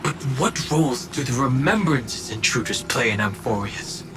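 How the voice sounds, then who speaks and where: A man's voice: medium pitch, animated, normal speed, music in the background, natural voice. A man playing a stoic, serious young adventurer in a video game, earnest, formal and restrained. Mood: resolute. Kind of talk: video game character voice acting.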